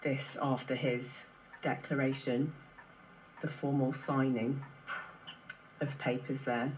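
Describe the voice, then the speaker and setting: A commentator gives restrained live ceremonial commentary in short halting phrases, heard through an old radio. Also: medium pitch, level tone, slight background noise. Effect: played through old radio. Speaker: broadcast commentator. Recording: thin, narrow sound through vintage radio.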